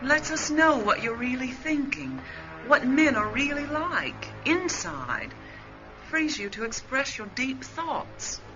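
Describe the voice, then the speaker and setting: A coaxing spacewoman in radio drama, slow and soothing, with a very expressive swing in pitch. Music sits behind her on a slightly dull recording. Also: exaggerated voice. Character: coolly coaxing spacewoman drawing out confessions. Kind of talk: radio play acting.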